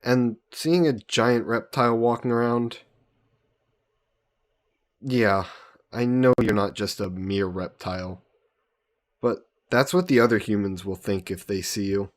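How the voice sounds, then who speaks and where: A man voicing an easygoing, teasing young adventurer hero in an intimate fantasy roleplay audio drama, casual and gently coaxing. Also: on the low side, steady tone, a little slow, long pauses, natural voice. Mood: persuading, casual. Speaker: voice actor.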